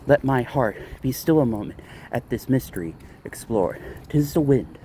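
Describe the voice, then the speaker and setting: A man, an amateur reader, recites Gothic poetry aloud in measured, dramatic phrases with pauses while out walking. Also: medium pitch, lively intonation, warm tone. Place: outdoors, walking a dog. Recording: outdoor street noise, vehicles, jangling keys.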